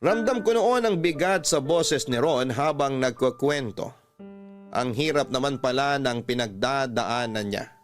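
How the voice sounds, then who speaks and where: Filipino male radio storyteller, medium pitch, dramatic first-person narration, music underneath. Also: measured pace. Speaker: radio host reading a listener's love story.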